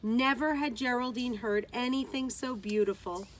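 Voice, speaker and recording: A woman's voice: a little high, moderate pace, slight background noise, poor audio. A woman teacher reading a children's story aloud outdoors, expressively, with dramatic emphasis on key words.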